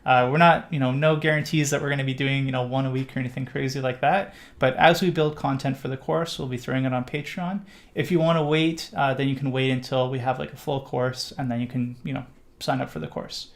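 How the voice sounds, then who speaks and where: A man's voice: mid-range voice, steady tone, brisk. A middle-aged Canadian man hosting a live stream, answering viewer questions in a rambling casual way with lots of fillers. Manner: rambling with many fillers and restarts. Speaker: livestream host.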